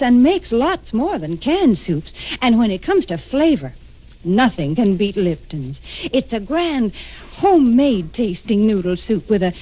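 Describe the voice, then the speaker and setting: Animated, high-voiced 1940s radio spokeswoman. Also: low-quality recording. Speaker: commercial spokeswoman. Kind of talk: scripted 1940s radio advertising banter.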